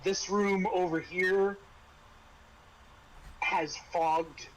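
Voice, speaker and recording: A man acting as game master, describing scenes and explaining rules in a halting way, restarting his phrases. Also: high-pitched, steady tone, noisy background. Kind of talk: tabletop RPG narration and casual rules talk.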